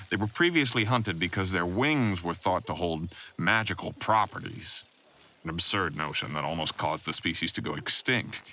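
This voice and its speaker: Man acting a formal, slow-paced fantasy schoolteacher. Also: medium pitch, bright, forceful tone. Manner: formal, measured, instructive. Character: enthusiastic male teacher lecturing students on magical creatures. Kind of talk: fantasy audio roleplay acting.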